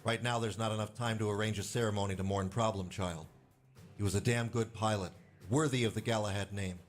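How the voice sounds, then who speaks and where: An actor playing a stern, weary squadron commander giving grave, hard-nosed speeches to pilots in a space game. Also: male voice, lowish voice, steady tone, a little fast, close to an everyday voice. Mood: grim, resolute. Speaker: military commander briefing pilots. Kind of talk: video game voice acting, military briefing speech. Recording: music behind the voice.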